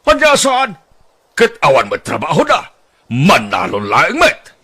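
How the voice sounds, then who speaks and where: An actor doing a husky, scolding old father. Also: fairly high pitch, huge pitch swings, a little slow, pushed and bright, heavily acted. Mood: arguing. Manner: scolding, sarcastic, blustering. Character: stern older father, scolding and mocking. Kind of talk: radio-style drama acting.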